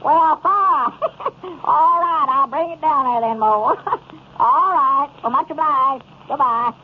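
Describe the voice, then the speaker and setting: An actor playing a lovable, folksy old rural storekeeper with a Southern US accent, hesitant and rambling, in old radio comedy style. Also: male voice, very high voice, takes it slowly. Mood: folksy, chatty. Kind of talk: 1930s radio comedy acting. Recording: noisy, tinny old radio recording.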